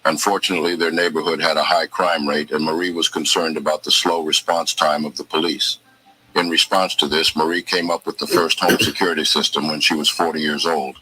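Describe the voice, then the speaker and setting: A man narrating a countdown of inventions in a steady documentary reading. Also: very deep voice, fairly quick, slightly rough, low-quality recording. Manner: steady list-style reading.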